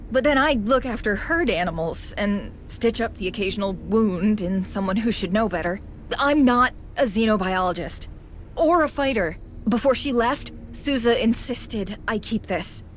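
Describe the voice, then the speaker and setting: A woman's voice: a little high, lightly acted. A woman playing an unassuming veterinarian survivor in a game, frank, hesitant and self-deprecating. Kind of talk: video game cutscene acting. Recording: game audio with faint background noise.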